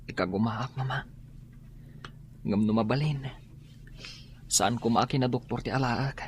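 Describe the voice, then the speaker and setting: An actor playing a sickly, despondent young student and dutiful son in an Ilocano radio drama, speaking in a plaintive, weary way. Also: male voice, mid-range voice, a little slow, a little hiss or hum, natural voice. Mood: pleading, dejected.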